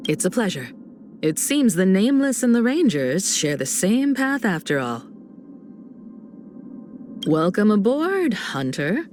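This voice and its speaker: Casting: elegant adult woman navigator for a video game, unhurried, lively intonation, reassuring poise. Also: mid-range voice, faint music behind. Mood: encouraging, gracious. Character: graceful, composed, warm adult woman, spacefaring train navigator. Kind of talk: polished game voice acting.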